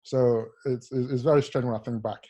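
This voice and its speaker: A young man from Nigeria, a rower, telling his life story in an interview in a soft, halting way with many fillers. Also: mid-range voice, steady tone. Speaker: Paralympic rower, guest. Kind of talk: casual interview, personal story.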